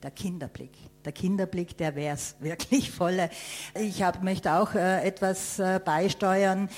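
A woman's voice: lowish voice, fairly even intonation, a little slow, a little mellow. A woman speaking thoughtfully in a casual panel discussion, often stumbling over repeated words. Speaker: panel guest.

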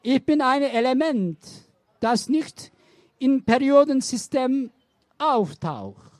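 A woman slowly reciting poems in a deliberate, halting way. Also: high-pitched, lively intonation, a little mellow, no echo. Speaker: poetry reader. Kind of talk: slow poetry reading.

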